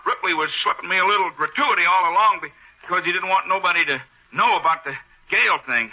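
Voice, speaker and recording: Sly radio noir lowlife, highish raspy voice, slangy, smart-aleck, animated, weak-spined blackmailer. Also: male voice, fast talker, dull audio, full character voice. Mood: frightened, pleading. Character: sly, wisecracking male small-time blackmailer, cowardly underneath. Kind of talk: 1940s radio noir acting. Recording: old, rough-sounding radio drama recording.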